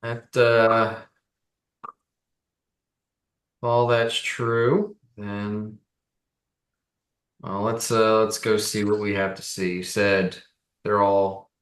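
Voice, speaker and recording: Man playing a wary, low-voiced city guard. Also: little pitch movement, extremely slow, pauses often, a bit bright. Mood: hesitant, probing. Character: wary, unimpressed city guard questioning mercenaries. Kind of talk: tabletop roleplay dialogue. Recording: roomy echo, far from mic.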